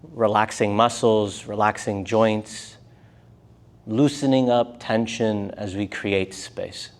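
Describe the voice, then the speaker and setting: A man teaching a live yoga and meditation class, speaking gently in short, unhurried phrases with pauses between them. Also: lowish voice, steady tone. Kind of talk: guided meditation class instruction.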